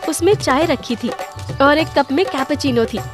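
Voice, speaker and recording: A woman's voice: high-pitched, moderate pace. A woman reading scripted dramatic narration for a cartoon story. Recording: music under the voice.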